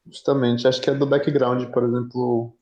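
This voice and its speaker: A man's voice: mid-range voice, flat delivery, normal speed, darkish tone. A man in a casual technical discussion online, explaining things in a tentative way.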